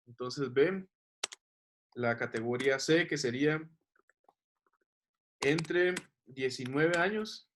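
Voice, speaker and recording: A man's voice: mid-range voice, slow pace, pauses often, a little hiss or hum. A man teaching a class, explaining patiently and checking that the students follow. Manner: patient, checks understanding with questions.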